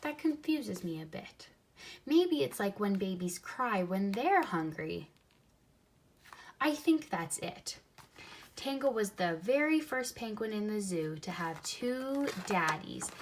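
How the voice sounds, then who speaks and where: A woman reads a picture book aloud for young children, expressively, pausing to add simple comments of her own. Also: medium pitch, slight background noise. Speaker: storybook reader.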